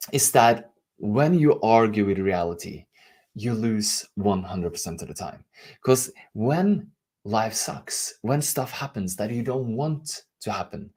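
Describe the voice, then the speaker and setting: A man's voice: lowish voice, a little mellow. A middle-aged man from Norway with a Norwegian accent, giving an earnest, self-teasing motivational talk as a leadership coach.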